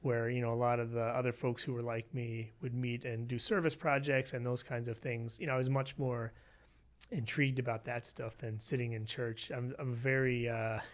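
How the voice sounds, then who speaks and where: A man from Western Pennsylvania, a researcher being interviewed, telling his family story in a relaxed way with many "you know"s. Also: medium pitch, moderate pace. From Western Pennsylvania, USA. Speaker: guest, researcher. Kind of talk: conversational interview.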